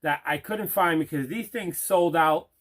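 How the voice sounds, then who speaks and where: A man's voice: fairly high pitch, measured pace, fairly bright tone. A man hosting a casual unboxing video, chatting enthusiastically and leaning on repeated words for emphasis. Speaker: host of a collecting unboxing video. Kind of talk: casual unboxing chat to camera.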